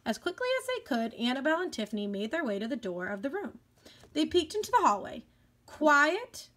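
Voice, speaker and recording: A woman reading a children's book aloud with clear, expressive storytelling. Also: sits high, a little fast. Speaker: elementary school educator.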